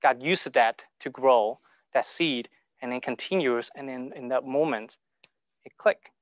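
Halting man. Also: mid-range voice, no echo. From Hong Kong. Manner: halting, repeats words, broken grammar. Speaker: speaker sharing testimony. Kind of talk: informal talk to a church group.

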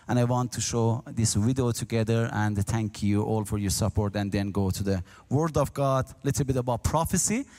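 Man preaching in a reverberant hall. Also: mid-range voice. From Iran, near the Turkish border. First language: Azeri. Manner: earnest, halting non-native English, grows animated while telling his story. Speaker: guest speaker. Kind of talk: church testimony and talk.

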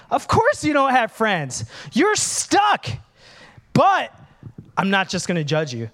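A man, preaching a candid, humorous sermon as pastor. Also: high voice. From Minnesota, USA. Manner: animated, candid, humorous, acts out dialogues.

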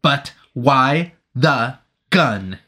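A man doing a clipped, impatient gentleman. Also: fairly high pitch, huge pitch swings, slow pace, voice pushed up, full character voice. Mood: curt imperious. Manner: clipped, imperious. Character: curt, impatient aristocratic gentleman.

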